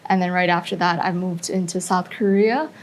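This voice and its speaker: Composed young woman with a low voice. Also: fairly even intonation, normal speed. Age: 20s. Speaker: pageant runner-up guest. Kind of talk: interview answers.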